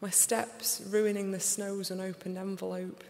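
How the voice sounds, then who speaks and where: A young woman with a Sheffield accent reading her poems aloud in a slow, deliberate recital before an audience. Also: mid-range voice, little pitch movement, vocal fry, darkish tone. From England. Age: young adult. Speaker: poet. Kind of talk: poetry reading. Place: large concert hall. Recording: live reading in a reverberant hall.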